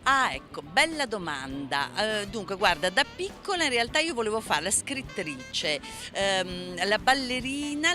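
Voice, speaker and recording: A woman actress giving casual, conversational interview answers. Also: on the high side. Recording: music playing behind the voice.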